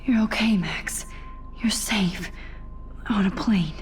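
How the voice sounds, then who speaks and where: A woman voicing an anxious, introspective teenage girl in a video game, speaking softly and often half-whispering to herself. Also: medium pitch, slow pace, faint music behind, close to an everyday voice. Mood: anxious relief. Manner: soft, breathy, half-whispered musing. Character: anxious, soft-spoken teenage girl student, introspective time traveler. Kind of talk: video game acting, inner monologue and dialogue.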